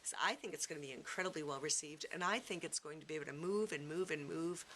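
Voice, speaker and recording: A low-voiced woman with a very bright, forceful edge answers interview questions. She is middle-aged and talks at length with enthusiasm and emphasis. A faint hiss sits behind her. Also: fast. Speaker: theatre cast member.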